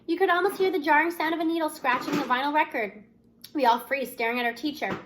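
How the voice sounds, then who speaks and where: A woman's voice: high-pitched, fast talker, noisy background. A woman reading a children's novel aloud expressively, narrating as a student in the first person. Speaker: audiobook-style reader.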